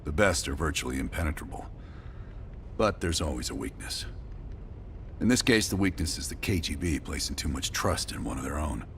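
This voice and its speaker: A cool-headed veteran covert operative from a spy game, with a rock-bottom voice full of grit. He delivers terse, dry lines in a measured way, over a faint rumble. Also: lively intonation, a bit bright, full character voice. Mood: calm, confident. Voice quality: low gravelly voice. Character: gruff, calculating, cool-headed veteran male spy team leader. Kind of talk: cinematic game dialogue acting.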